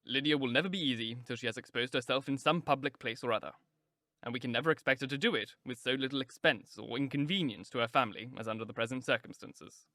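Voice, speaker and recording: A man's voice: mid-range voice, fast talker, bright, forceful tone, no echo, lightly acted. A man reading a wry, teasing father. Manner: dry, sardonic. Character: wry middle-aged father, dry and teasing.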